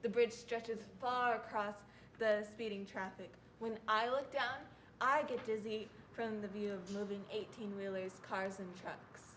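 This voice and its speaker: A woman's voice: medium pitch, fairly even intonation, a little slow, a bit bright, lightly acted. A young woman, a student narrator reciting scripted readers theatre lines. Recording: faint background noise.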